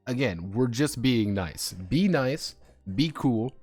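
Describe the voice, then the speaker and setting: A man's voice: mid-range voice, lively intonation, slow, a little mellow, faint music behind. A man live streaming as a game designer, rambling casually between reading draft text aloud and making chuckling asides.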